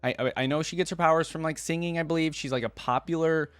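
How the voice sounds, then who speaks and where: A man's voice: on the high side, fairly even intonation, fast talker, clean tone, no room sound, faint music behind. A man hosting a fan reaction video, talking casually and thinking aloud as he reads and comments. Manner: thinks aloud, hedging and musing. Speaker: host of a fan reaction channel.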